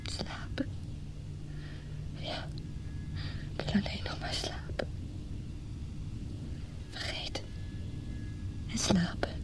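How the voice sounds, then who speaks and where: An actress playing a wounded, bitter mistress in her thirties in a radio drama, speaking in a breathy, halting, often whispered voice. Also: lightly acted, rough recording. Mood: numb, weary. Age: 30s. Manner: slow, halting, anguished. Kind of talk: radio drama acting, intimate inner monologue.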